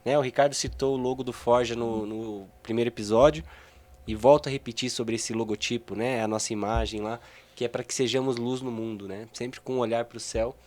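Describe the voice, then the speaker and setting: A man's voice: medium pitch, fairly even intonation, a little fast, darkish tone. A man hosting a faith podcast, welcoming the guest and framing the conversation earnestly. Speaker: podcast host.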